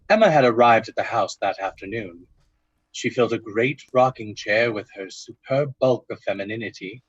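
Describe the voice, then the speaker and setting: An actor narrating an eerie period tale in the style of an old-time radio drama. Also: male voice, medium pitch, fairly bright tone, breathy edge, dry recording, lo-fi sound.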